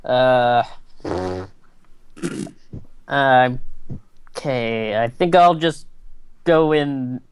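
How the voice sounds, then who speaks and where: A man's voice: medium pitch, very slow, pauses often, clear, resonant tone, no echo. A man playing a tabletop role-playing game, talking casually. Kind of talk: casual chat.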